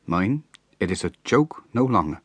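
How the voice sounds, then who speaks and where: A man's voice: on the low side, sing-song, normal speed, slightly rough, no echo. A man voicing a weary young rustic wife who speaks sternly and firmly. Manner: stern and firm.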